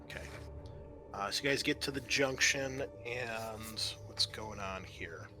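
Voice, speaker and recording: A man narrating a tabletop roleplaying game as game master, improvising and thinking aloud with hesitant pauses. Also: fairly low pitch, noisy background.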